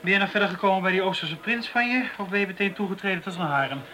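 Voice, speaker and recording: A man's voice: sits high, rapid-fire, pushed and bright. A man playing a wry, matter-of-fact police detective in a naturalistic radio drama. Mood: wry, skeptical. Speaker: actor in a radio play. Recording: roomy, distant, noisy radio play recording.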